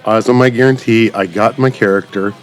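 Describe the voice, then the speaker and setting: Casting: low-voiced male gaming host, chatty and rambling, with game music behind him. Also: steady tone, a little fast, low-quality recording. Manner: rambling, addresses viewers directly. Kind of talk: casual gameplay commentary.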